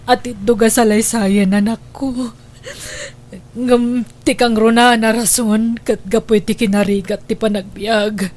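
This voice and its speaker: A woman's voice: on the high side, little pitch movement, a little mellow, natural voice. A woman playing a sickly, poor, weary mother in an emotional radio drama. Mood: weak, sorrowful. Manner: slow, emotional delivery.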